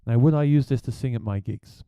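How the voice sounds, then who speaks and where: A man with a deep voice, a gigging musician, chatting plainly through a gear review. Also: fairly quick, dark tone, clear, resonant tone, no echo.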